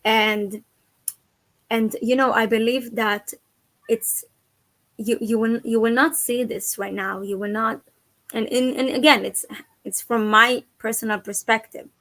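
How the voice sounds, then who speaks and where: Brief: young woman guest, earnest, slightly high voice, dry sound, from Israel. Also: fairly even intonation, measured pace, bright, forceful tone, no echo. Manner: earnest, searching for words. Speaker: guest, activist and educator. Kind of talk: conversational interview.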